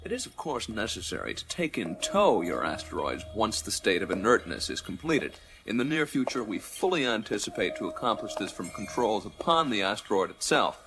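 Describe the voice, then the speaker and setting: A man's voice: fairly low pitch, very animated, brisk, few pauses. A man playing a prim, evasive, spindly alien company director in a radio play, speaking in a formal, fussy, condescending way. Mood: fussy, explanatory. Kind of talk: radio play character acting.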